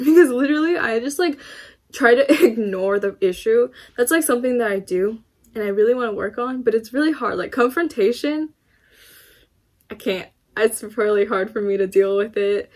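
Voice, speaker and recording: This is a young woman of eighteen chatting to the camera in her bedroom. Her voice sits a little high, and she rambles, dropping in many fillers and small laughs as she answers viewer questions. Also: animated, normal speed. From United States. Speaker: YouTuber. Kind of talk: casual Q&A vlog to camera. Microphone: camera in front of her, laptop beside it.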